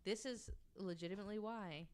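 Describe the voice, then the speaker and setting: A woman's voice: medium pitch, a little fast, a little mellow, clear, resonant tone. A woman hosting a podcast, telling a nonfiction story in a casual, candid and self-deprecating way with some swearing.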